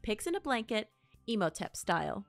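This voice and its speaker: A woman's voice: mid-range voice, very animated, moderate pace, vocal fry, dry recording, soft background music. A woman playing a teasing, supportive friend in a naturalistic audio drama. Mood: playful. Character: playful, supportive girlfriend and friend in costume.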